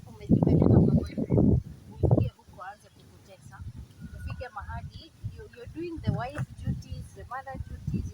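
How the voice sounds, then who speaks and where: A woman with a Kenyan accent gives conversational advice in a group talk, switching between two languages. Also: fairly high pitch. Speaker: panel speaker. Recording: very noisy, distant microphone, live session.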